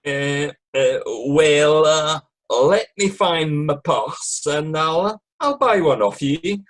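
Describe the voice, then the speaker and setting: Man playing a jovial Scotsman, Scottish accent, swooping pitch, unhurried, folksy, hesitant, radio play. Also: expressive. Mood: wheedling, jokey. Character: jovial, canny old-fashioned Scotsman in a kilt. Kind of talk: amateur radio play acting.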